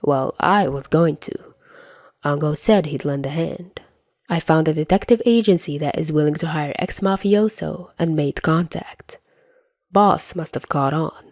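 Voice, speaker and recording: A woman voicing a calm, amused, matter-of-fact man in a story reading. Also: sits low, a little slow, no echo, studio quality. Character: calm, amused, unhurried man, older mafioso.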